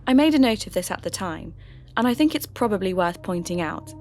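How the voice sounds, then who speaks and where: A woman playing a radio host turned investigator, giving measured, reflective first-person narration for a scripted podcast drama. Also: mid-range voice, lively intonation, darkish tone, natural voice. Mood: sceptical, measured. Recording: music under the voice.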